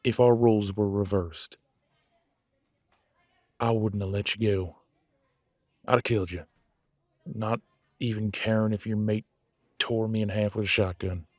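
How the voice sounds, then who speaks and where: A man playing a proud, blunt werewolf in an audio drama, speaking haltingly as he grudgingly makes peace with a rival. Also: lowish voice, steady tone, dry recording, clean studio sound, natural voice. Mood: blunt, confessional. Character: gruff proud male werewolf, reluctantly making peace with rival. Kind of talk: scripted audio roleplay drama.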